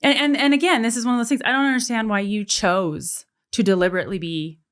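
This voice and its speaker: A woman's voice: fairly high pitch, steady tone, quick pace, smooth. A woman in her forties, a guest on a podcast, talking in an earnest, reflective way and often repeating words as she thinks. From United States, military family. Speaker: guest, adoptive mother and author. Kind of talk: casual podcast conversation.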